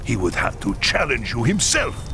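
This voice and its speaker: An actor playing a bitter, vengeful middle-aged clan leader in a video game, heated and dramatic, with a gravelly voice. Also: male voice, medium pitch, big pitch swings, moderate pace, noisy background, lightly acted. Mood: grim demanding. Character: bitter, vengeful human clan leader, grieving husband and father. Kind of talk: video game cutscene acting. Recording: loud music behind.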